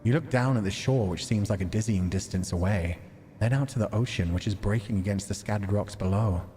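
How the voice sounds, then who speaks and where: A man's voice: fairly low pitch, fairly quick, dark tone, slight background noise. A man hosting a game stream, reading visual novel narration aloud and chatting casually about it. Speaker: livestream host playing a visual novel.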